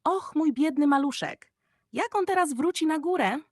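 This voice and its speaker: A woman's voice: high voice, animated, normal speed, no echo, clean studio sound, pitched above the performer's own voice. A woman voicing a frantic, tender mother stork in a children's story, lamenting emotionally. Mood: despairing. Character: frantic, tender mother stork fretting over her chick.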